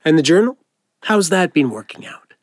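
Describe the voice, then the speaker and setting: A man playing a friendly, informal doctor who gently encourages and reassures a patient in an audio drama. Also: on the high side, big pitch swings, moderate pace, no room sound, clean studio sound. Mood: friendly, upbeat. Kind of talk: naturalistic audio drama acting.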